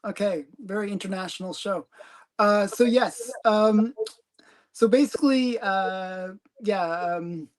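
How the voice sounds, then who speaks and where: A woman's voice: mid-range voice, slow pace. A woman hosting a casual comic collecting livestream, chatty and rambling, giggling often. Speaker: host of a comic collecting channel.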